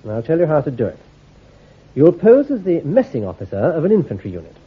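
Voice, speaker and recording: An actor playing a shrewd army intelligence commander in a radio drama, issuing careful orders in a clipped, authoritative way. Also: male voice, a little high, wildly swooping pitch, quick pace, husky, exaggerated voice. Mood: commanding. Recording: tinny, phone-like old recording.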